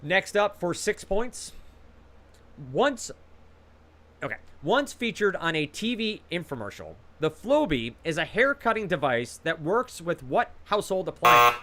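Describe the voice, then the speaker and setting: A man's voice: fairly high pitch, sing-song, unhurried, bright, forceful tone. A man hosting a trivia quiz over a video call, reading out questions with casual, encouraging banter between them.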